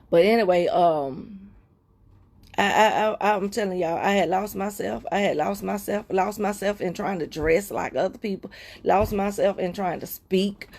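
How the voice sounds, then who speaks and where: A woman's voice: medium pitch, unhurried, a little mellow. Woman giving an emotional spoken testimony. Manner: emotional, repetitive, preacher-like cadence. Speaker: livestream host. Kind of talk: heartfelt personal testimony to viewers.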